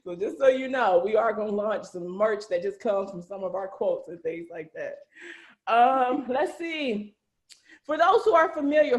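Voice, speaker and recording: Higher-pitched woman hosting in a roomy space. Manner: animated, slangy, conversational. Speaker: host, narrator and writer of an audio series. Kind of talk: casual group chat, hosting questions. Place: indoors on a group video call. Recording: roomy echo on a video call.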